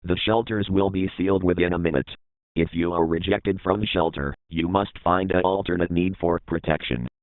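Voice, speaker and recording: Phone-like narrow sound, man acting stern alert announcer, low pitch, formal, measured, scripted warning. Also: steady tone, slight background noise, natural voice. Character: stern official male emergency broadcast announcer. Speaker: emergency announcer. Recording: thin phone-like band-limited sound.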